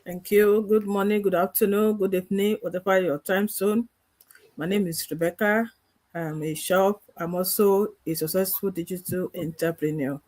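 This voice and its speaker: Halting Nigerian woman. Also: mid-range voice, steady tone, darkish tone. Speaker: panel guest.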